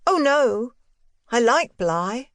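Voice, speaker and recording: A precocious little boy, acted out by a woman reader, high in pitch and closely recorded. He speaks with languid, teasing deliberation and a serene streak of defiance, in the manner of a classic audiobook ghost story. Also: big pitch swings, takes it slowly, dry recording, studio quality. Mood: languid, evasive.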